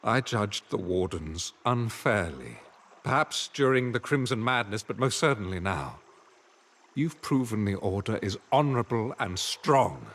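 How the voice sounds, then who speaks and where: An actor playing a weary, honorable older man in a fantasy video game, speaking in a formal, solemn, measured way. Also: fairly low pitch, big pitch swings, a bit bright, full character voice. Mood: earnest, respectful. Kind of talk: scripted video game dialogue.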